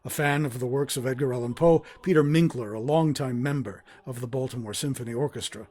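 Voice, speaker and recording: A man narrating a scripted documentary as host, in a measured, formal and articulate manner. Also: medium pitch, darkish tone. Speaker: host and director of a radio theater.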